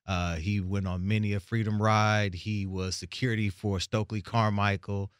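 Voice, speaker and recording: Man with a smooth, low voice. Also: flat delivery, moderate pace, no room sound, clean studio sound. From Tanzania. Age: 40s. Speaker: executive producer, guest. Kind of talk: casual podcast chat.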